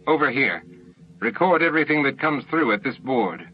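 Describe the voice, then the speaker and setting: A man's voice: medium pitch, very animated, moderate pace, dull audio, a little hiss or hum, voice pushed up, close to an everyday voice. A man voicing a harried, decisive first minister in an audiobook, clipped and authoritative. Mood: commanding.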